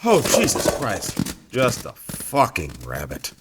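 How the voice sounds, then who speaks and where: Gruff, encouraging bounty hunter, audio drama, mid-pitched, unhurried delivery over noisy ambience. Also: male voice, wildly swooping pitch, exaggerated voice. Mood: exasperated.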